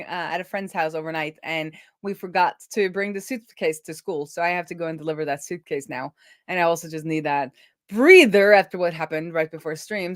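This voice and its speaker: A woman's voice: lowish voice, dry recording, studio quality. A middle-aged woman hosting a livestream in casual, conversational chat. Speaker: livestream host.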